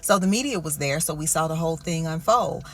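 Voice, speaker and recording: A woman's voice: low voice, expressive, brisk, a bit bright, faint music behind. Slangy American woman telling a story. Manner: slangy storyteller, acting out quotes colloquially. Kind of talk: casual animated recap of a political meeting.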